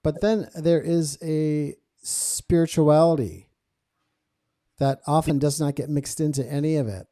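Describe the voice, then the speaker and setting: Mellow-voiced male podcast host. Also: a little high, measured pace, dry recording, clean studio sound. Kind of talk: conversational podcast interview with religious commentary.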